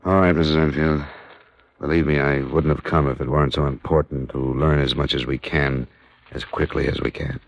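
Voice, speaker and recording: An actor playing a hard-boiled American investigator, matter-of-fact and methodical, in a radio noir drama. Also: male voice, a bass voice, fairly even intonation, moderate pace, gravelly, exaggerated voice. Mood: firm, sympathetic. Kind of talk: scripted first-person radio noir narration and dialogue. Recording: dull vintage radio recording, music.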